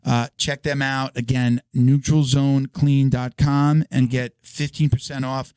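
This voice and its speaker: A hoarse man hosting a podcast, reading sponsor ads with stumbles and filler words. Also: medium pitch, level tone, unhurried, darkish tone, dry recording. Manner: stumbling, filler-heavy ad reads. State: hoarse, losing his voice. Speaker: podcast host.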